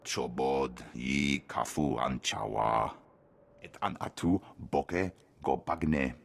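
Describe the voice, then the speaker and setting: A man voicing a rough-voiced alien speaking an invented language in a video game. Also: a bass voice, slow, exaggerated voice. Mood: matter-of-fact. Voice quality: husky, rough.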